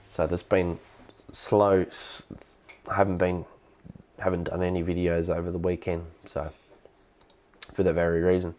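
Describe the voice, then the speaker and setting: A man with an Australian accent and a cold, explaining things casually and haltingly as the host of a hobbyist live stream. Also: sits low, little pitch movement, darkish tone. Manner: halting, hesitant delivery with repeated words. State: has a cold.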